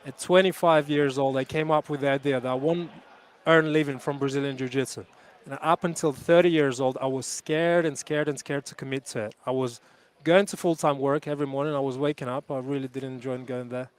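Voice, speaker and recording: A man's voice: mid-range voice, steady tone, a little fast, clear, resonant tone. A man in his thirties, a jiu-jitsu coach, answering interview questions earnestly and reflectively, with frequent restarts. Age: 30s.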